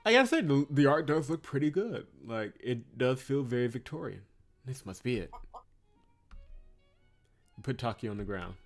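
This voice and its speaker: A man's voice: mid-range voice, expressive, moderate pace, long pauses. A man giving casual playthrough commentary with wry, teasing asides and reading narration aloud. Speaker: let's-play host. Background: game music.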